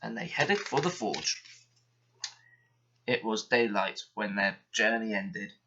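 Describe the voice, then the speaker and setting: A man reading a children's bedtime story aloud in an expressive, steady manner. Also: fairly low pitch, takes it slowly, highs cut off.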